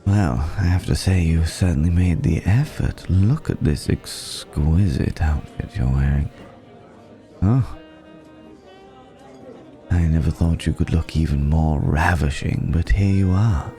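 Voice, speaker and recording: An ageless, indulgent vampire gentleman, acted by a man, speaks in a very deep, unhurried, mellow voice. He is courtly and teasing, lingering over his words in an intimate ASMR roleplay. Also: soft background music. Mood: flirtatious, wistful. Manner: leisurely, courtly, teasing, with hesitant pauses and hums.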